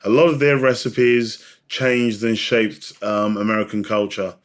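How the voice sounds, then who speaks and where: A man's voice: lowish voice, flat delivery, measured pace. A man speaking as a podcast guest, telling stories and explaining in a reflective, conversational way. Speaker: podcast guest, drinks industry advocate. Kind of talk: conversational interview, storytelling.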